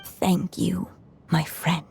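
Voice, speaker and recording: A woman voicing a gentle, wise mother who is a writer, speaking softly and at times half-whispering. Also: lowish voice, little pitch movement, gravelly, slight background noise, full character voice. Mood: tender. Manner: soft, unhurried, often drifting into a whisper. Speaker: storyteller mother. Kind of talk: video game voice acting.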